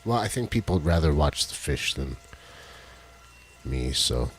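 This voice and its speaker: Deep husky-voiced man rambling on a stream. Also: big pitch swings, measured pace, soft background music. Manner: rambling, jokey, fiddles with tech while talking. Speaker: co-host of a live stream show. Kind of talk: casual live-stream chat. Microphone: headset with headphones.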